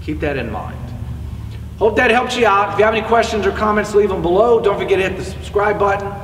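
A middle-aged man coaches hitting in a relaxed, chatty way, his voice sitting a little high for a man. He stands back from the camera inside a large indoor batting cage. The room gives a long, hollow echo, with a faint hum of ambient noise underneath. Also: fast talker. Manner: conversational, demonstrating with a bat while he talks. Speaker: hitting instructor. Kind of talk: spoken coaching lesson to camera. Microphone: far camera. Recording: echoey indoor facility, distant mic.

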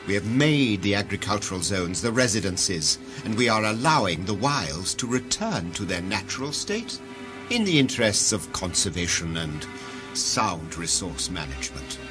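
An actor playing an imperious head of a world-ruling cartel in a sci-fi audio drama, grandiose and commanding like an orator. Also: male voice, fairly low pitch, sing-song, normal speed, over music. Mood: proud, insistent.

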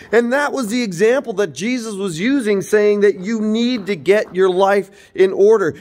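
A man in his forties giving an earnest, informal sermon, filmed on a phone held at arm's length inside an empty old church. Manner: earnest, preaching, rises and falls in pitch. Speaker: preacher.

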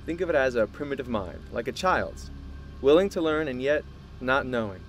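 An actor playing an earnest, secretive male scientist in an audio drama, speaking in a measured, formal way. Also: medium pitch, animated, a little hiss or hum, close to an everyday voice. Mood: proud, explaining.

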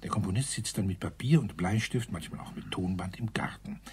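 An actor playing a rambling colonel in a German radio crime drama, hesitant then emphatic, with a husky, breathy voice. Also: male voice, low-pitched, brisk, whispered, close to an everyday voice. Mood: rambling, musing.

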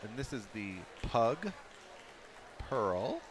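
A man commentating live on a dog show, with a relaxed, self-deprecating joking manner. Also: medium pitch, drawn-out words, background noise.

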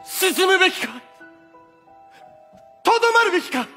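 A man's voice: extremely high pitch, animated, unhurried, bright, forceful tone, full character voice. An actor playing a dramatic, jumpy young man, declaiming theatrically in a comic Shakespeare stage play. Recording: stage recording with music.

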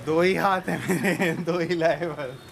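A man's voice: fairly high pitch, steady tone, measured pace, gravelly, a bit bright. A young man co-hosting a casual vlog, bantering and teasing with playful film-style lines on an open rooftop terrace. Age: young adult. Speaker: co-host. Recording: noisy outdoor ambience, off-mic.

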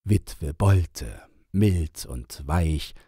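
A man narrating a storybook in verse as an audiobook reader, measured and with dramatic pauses. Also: a bass voice, expressive, mellow, slightly rough, no room sound, clean studio sound.

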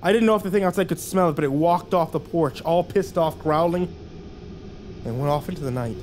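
A man narrating a first-person horror story, hushed and tense, with occasional acted lines. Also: a little high, brisk, a little hiss or hum.

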